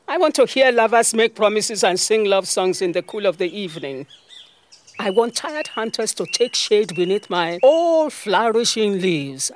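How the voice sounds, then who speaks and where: A woman's voice: medium pitch, dry recording, natural voice. A woman playing an ancient talking olive tree in an audio drama, a stern, motherly spirit with a Nigerian accent and a grand, reproachful way of talking. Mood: proud lament.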